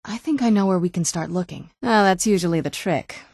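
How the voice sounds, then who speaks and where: A woman voicing a guarded, wounded young vampire noblewoman in a video game, with dry understatement and hesitant pauses. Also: on the low side, gravelly, darkish tone, no room sound. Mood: curious, matter-of-fact. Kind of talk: video game character dialogue. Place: dry studio recording.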